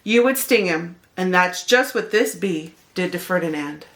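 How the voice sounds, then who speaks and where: A woman's voice: lowish voice, animated, moderate pace, fairly bright tone. A woman narrating a children's picture book expressively, as a read-aloud host speaking to young listeners.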